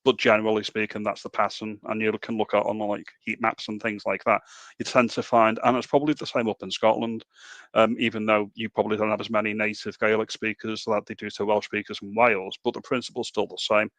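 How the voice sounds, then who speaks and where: A man with a Liverpool accent hosting a casual political chat, animated and full of rhetorical questions. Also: lowish voice, a little fast, no echo. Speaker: podcast host.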